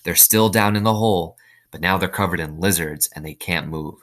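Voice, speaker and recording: Male storyteller, low register, reads a children's novel with simple explanatory asides and questions. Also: a little fast, a bit bright.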